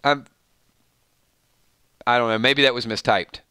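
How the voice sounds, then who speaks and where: A man with a Southern Georgia accent chats loosely to his viewers, rambling with frequent laughs. His voice is bright and ringing, smooth and clean. The sound is dry and close. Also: mid-range voice, steady tone, a little slow, dry recording. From Georgia, USA. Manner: chatty, rambling, laughs often, reads viewer comments. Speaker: show host. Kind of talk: casual live-stream chat.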